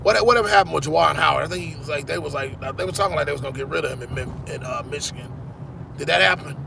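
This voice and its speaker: A man's voice: a little high, rapid-fire, vocal fry, noisy background. A man in his forties, hosting a sports channel, chatting casually with viewers in a rambling way, often repeating "man". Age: 40s. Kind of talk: casual live-stream chat with viewers.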